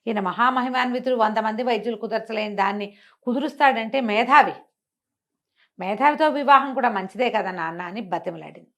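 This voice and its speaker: A middle-aged woman telling a folk tale with animated, theatrical delivery. Her voice sits somewhat high for a woman, and she switches tone as she voices each character. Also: fairly quick. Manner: expressive, acts out the characters' lines with shifting voice. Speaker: storyteller guest. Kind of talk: animated storytelling in an interview.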